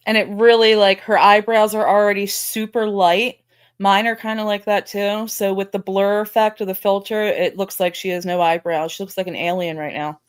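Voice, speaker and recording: A woman's voice: medium pitch, flat delivery, moderate pace, clear, resonant tone. A woman hosting a casual gossip livestream, chatting cattily and swearing now and then. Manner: catty, profane, agreeable. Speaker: livestream host.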